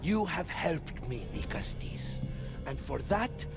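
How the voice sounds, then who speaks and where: A solemn, aloof ruler of Atlantis, speaking slowly and formally. It is grave video game cutscene dialogue with music underneath. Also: male voice, on the high side, expressive. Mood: solemn, dismissive.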